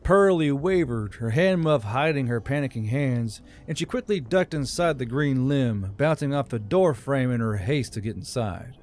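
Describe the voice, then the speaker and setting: A man's voice: mid-range voice, expressive, normal speed, few pauses, a little mellow. A man reading a scripted fantasy story as an audiobook narrator.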